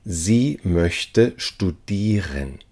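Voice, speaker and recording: A man reading short phrases for a language lesson, saying each one slowly and repeating it. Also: deep voice, animated, mellow. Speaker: language teacher.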